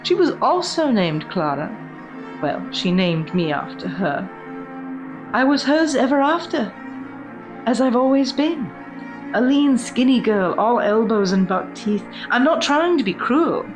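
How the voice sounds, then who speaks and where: A prim, genteel porcelain doll played by a woman speaks in a highish voice over clear background music. She is vain and quietly obsessive, choosing each formal word with theatrical care, as in a gothic horror radio tale. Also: expressive, poor audio. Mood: vain, wistful. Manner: formal, refined, dramatic diction with deliberate pauses. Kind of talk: gothic horror audio drama monologue.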